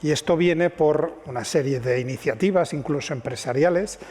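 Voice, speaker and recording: A man explains his field as a scientist guest on a panel, repeating key points for emphasis. His voice is husky and pitched a little high. Long hall reverb follows each phrase. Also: normal speed, a little mellow. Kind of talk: panel discussion.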